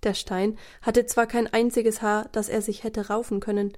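A woman narrating a children's audio story in a measured way, speaking directly to young listeners. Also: on the high side, flat delivery, a little mellow, lightly acted.

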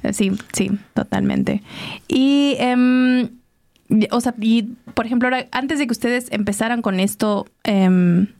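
A woman hosting a casual radio interview, often affirming her guests with soft laughs and fillers. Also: fairly high pitch, a little slow, creaky. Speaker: radio show host.

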